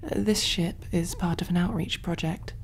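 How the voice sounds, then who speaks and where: A woman voicing a kind, calm alien medic in a soft, breathy, reassuring ASMR roleplay style. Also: on the low side, unhurried, a little hiss or hum. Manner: gentle, hushed, reassuring, often near whisper.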